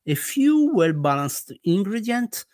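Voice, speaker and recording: A man's voice: sits high, very animated, slow, a little mellow, no room sound, clean studio sound. A man with an Italian accent, a guest author in a casual interview, speaking hesitantly with many fillers and restarts. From Italy.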